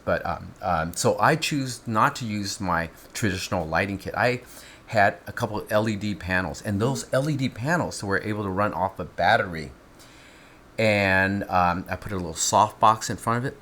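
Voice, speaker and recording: A man in casual instructional chat, explaining his film gear step by step with lively, teasing asides. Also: on the low side, slight background noise.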